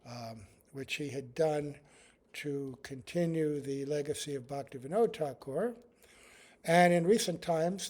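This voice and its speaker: A man giving a devotional class, talking in a relaxed and rambling way. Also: on the high side. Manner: chants prayers slowly, then talks in a relaxed, rambling way. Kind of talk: devotional class with chanted prayers.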